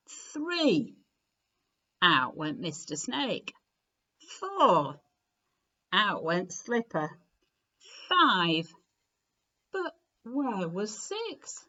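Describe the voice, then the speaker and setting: A woman reading a children's storybook aloud in an expressive, deliberate way, with clear phrasing and dramatic emphasis. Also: medium pitch, muffled recording. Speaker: story reader.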